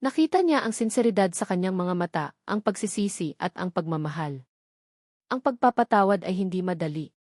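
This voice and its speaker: A woman's voice: lowish voice, animated, a little fast, a little mellow, dry recording, studio quality. A woman reading scripted, dramatic narration of a love story as its storyteller.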